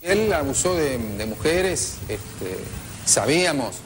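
Halting Argentine man giving testimony, faint noise. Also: fairly high pitch, sing-song. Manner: halting, searching for words, repeats himself. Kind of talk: spoken testimony.

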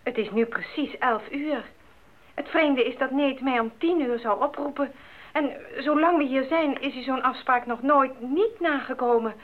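An actress playing a worried missionary wife at a radio post in a Dutch radio drama. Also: female voice, high-pitched, a little slow. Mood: anxious, controlled. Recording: muffled, narrow phone-like radio play recording.

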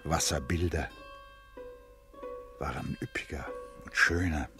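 Male narrator, extremely low pitch, measured, contemplative, literary radio play, plucked string music behind.

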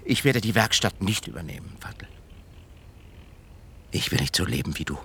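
A brash young man in a radio play, an impatient grown son. His pitch swings in a lively way as he presses and pleads, with faint noise behind him. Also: moderate pace. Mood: insistent, pleading. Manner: urgent, pleading, insistent. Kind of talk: naturalistic radio drama acting.

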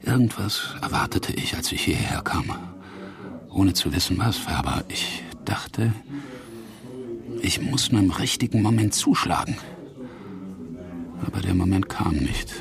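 An actor playing a disillusioned, wry ex-academic in a conversational radio drama, musing haltingly with breathy dips. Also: male voice, low-pitched, expressive, crowd noise, full character voice. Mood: resigned, musing. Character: disillusioned male drinker, ex-academic German teacher, wistful, wry.

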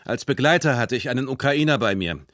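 An actor playing a truck driver giving a factual, deliberate statement in a documentary radio drama. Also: male voice, mid-range voice, expressive, fairly bright tone, no echo, clean studio sound, lightly acted. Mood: factual, uneasy. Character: male Nazi-era truck driver giving matter-of-fact interrogation statement.

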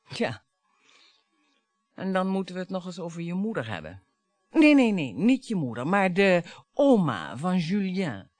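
Bitter, theatrical wife, swooping delivery. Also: female voice, fairly low pitch, no room sound. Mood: biting sarcasm. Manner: sarcastic, dramatic, swinging between hushed and biting. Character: bitter, taunting wife and grieving mother, theatrical and combative. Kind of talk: radio play acting.

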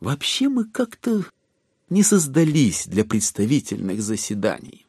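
A man's voice: medium pitch, wildly swooping pitch, measured pace, darkish tone, slightly rough, no echo, studio quality. A man narrating a dramatized literary classic in a wry, ironic manner.

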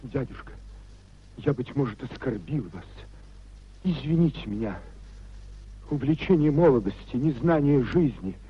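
An actor playing a poor, ashamed idealist pleading haltingly and apologetically, husky-voiced, in 1950s radio drama style. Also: male voice, mid-range voice, animated, a little hiss or hum, natural voice. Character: humbled idealist nephew, poor, ashamed petitioner. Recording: dull 1950s radio recording.

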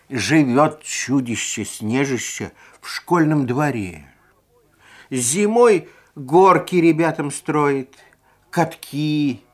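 Female storyteller for children's audio tales, slightly husky, wide swings of pitch, gentle and expressive. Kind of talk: children's fairy-tale narration.

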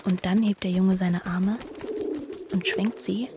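A wistful, tender young woman in a radio play. She speaks in a hushed, breathy, dreamy tone with very little melody. Faint birdsong-like ambience and cooing sit behind her. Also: medium pitch, steady tone, takes it slowly, noisy background. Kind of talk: literary radio drama monologue.

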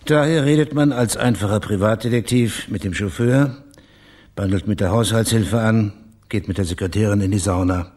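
An actor playing a dry, laconic male private detective with a husky voice in a radio play. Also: fairly low pitch, normal speed, darkish tone, lightly acted. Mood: cool, wry. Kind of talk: naturalistic radio play acting.

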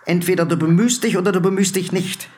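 A man's voice: sits high, fast, natural voice. An actor playing an anxious, proud middle-aged businessman in a radio drama, speaking in a curt, urgent way. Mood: tense, reproachful.

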